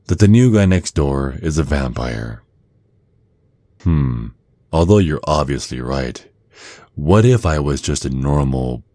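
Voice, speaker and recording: A man playing a menacing, possessive vampire neighbor in an intimate ASMR roleplay, speaking in a slow, teasing, seductive way straight to the listener. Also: very deep voice, mellow, no room sound, clean studio sound, full character voice. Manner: slow, teasing, deliberate, with breathy drops toward a whisper.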